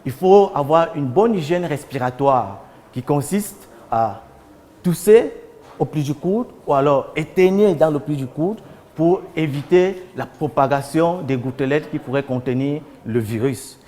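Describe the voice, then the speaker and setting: A man's voice: fairly high pitch, expressive, unhurried, mellow, long reverb tail. A man giving a public health announcement, speaking as a spokesman.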